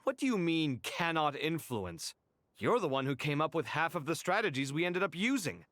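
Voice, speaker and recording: An actor playing a young male scholar in a game cutscene, expressive and quick to protest. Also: fairly high pitch, dry recording. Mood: uneasy, puzzled. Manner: animated, exasperated, quick to protest.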